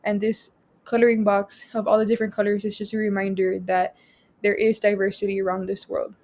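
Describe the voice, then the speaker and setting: A young woman from Texas whose first language is Spanish presents a photo project, reading each caption aloud and then explaining it in a measured way. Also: medium pitch, flat delivery, darkish tone. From Rio Grande Valley, Texas. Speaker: student presenter.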